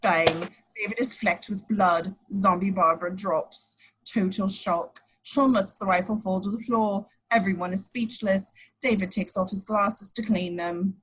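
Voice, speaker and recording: A woman's voice: medium pitch, steady tone, measured pace, hardly any pauses, fairly bright tone, low-quality recording. A woman reading scripted action description as narrator in an amateur table read of a screenplay.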